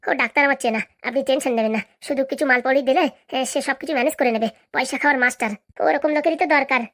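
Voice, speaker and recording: A woman as a cunning, rapid-fire cartoon henchwoman. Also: high voice, a bit bright. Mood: sly. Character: cunning, boastful female henchwoman and election fixer. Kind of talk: comic cartoon drama acting.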